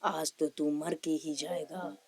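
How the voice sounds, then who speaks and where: A woman voicing a menacing three-headed forest witch ghost in a cartoon story, with threatening, drawn-out taunts. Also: low-pitched, little pitch movement, creaky, a little mellow, exaggerated voice.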